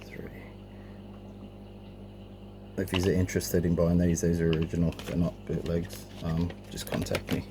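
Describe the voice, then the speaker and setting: A man with a deep voice full of vocal fry presents his collection casually. He speaks informally and without a script, hesitating now and then. The homemade recording has clear background noise. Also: level tone, measured pace, pauses often. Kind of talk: casual show-and-tell narration. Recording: clearly noisy amateur home recording.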